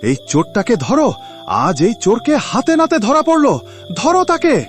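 A man's voice: extremely high pitch, huge pitch swings, unhurried, soft background music, exaggerated voice. A man voicing a greedy, bullying head gardener in a cartoon moral tale, theatrical and scolding. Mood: angry, accusing.

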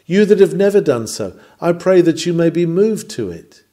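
A man's voice: on the high side, very animated, moderate pace, mellow. A man reading a scripted sermon in an earnest, exhorting, oratorical manner. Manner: earnest, exhorting, rhetorical delivery. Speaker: sermon reader.

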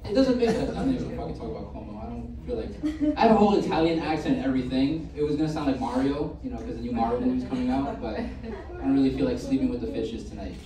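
A male stand-up comedian from the Bronx, doing a loud, crude set and joking with the crowd in a comedy club. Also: mid-range voice, expressive, very fast. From the Bronx, New York. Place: comedy club stage before a laughing crowd. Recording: echoey club, distant mic, loud crowd.

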